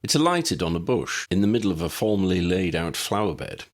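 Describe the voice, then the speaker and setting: A man reading a scripted fiction story as an audiobook narrator, with a husky, gravelly voice. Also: sits low, big pitch swings, a little fast.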